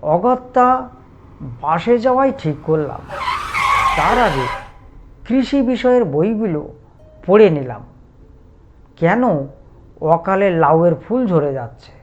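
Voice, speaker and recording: A man tells a scripted horror tale in the first person, taking his time over each phrase. His delivery is very slow and measured, with a dramatic storyteller's weight. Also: sits high, very animated, darkish tone, lo-fi sound, soft background music. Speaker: narrator.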